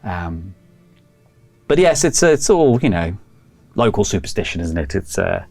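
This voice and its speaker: Man playing a hesitant librarian, rambling and evasive, lively pitch. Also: a little slow, creaky, no room sound, faint music behind, exaggerated voice. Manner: hesitant, rambling with many fillers and restarts. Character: fussy male village librarian, polite and evasive.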